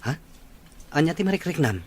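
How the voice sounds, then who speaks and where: A man's voice: a little high, lively intonation, fairly quick, a little hiss or hum, natural voice. A man playing a respectful, caring, easygoing young husband in an audio drama, with an Ilocano accent. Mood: concerned. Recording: music bed under the voice.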